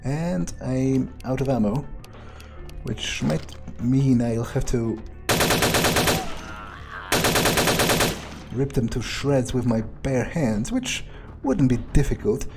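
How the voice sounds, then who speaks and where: A man playing a foul-mouthed, sardonic vampire, musing aloud with wry, hesitant pauses as he plays a video game. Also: medium pitch, dark tone. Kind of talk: in-character playthrough narration, musing aloud.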